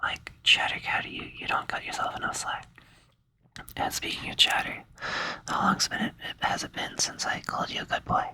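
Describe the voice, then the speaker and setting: A man's voice: moderate pace, poor audio. A young man whispering in a rambling, playful ASMR style, with many fillers. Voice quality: soft whisper. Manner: rambling, playful, says "like" a lot. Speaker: ASMR creator.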